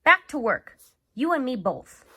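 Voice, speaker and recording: A woman reading a storybook aloud, voicing a worried, gentle working father who speaks earnestly. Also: high-pitched, sing-song, unhurried, slightly rough, voice pushed up, heavily acted. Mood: worried, resigned.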